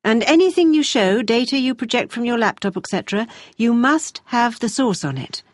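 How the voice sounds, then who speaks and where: A woman acting as a brisk, encouraging but exacting British university tutor, giving measured, emphatic feedback in a scripted listening-test dialogue. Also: fairly high pitch, animated, no echo, clean studio sound, lightly acted.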